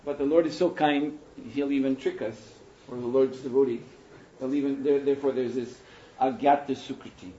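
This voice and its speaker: A man speaking as a spiritual teacher in a question and answer session, thoughtful and unhurried, pausing often. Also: mid-range voice, steady tone, slight background noise. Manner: thoughtful, unhurried, with pauses and soft laughs. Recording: roomy hall, muffled and lo-fi.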